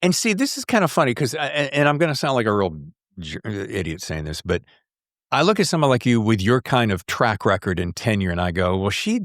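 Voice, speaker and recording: A man's voice: on the low side, big pitch swings, fairly quick, a bit bright, no room sound, studio quality. A man hosting a casual podcast interview, rambling chattily and acting out his own thoughts as he talks. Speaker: podcast host.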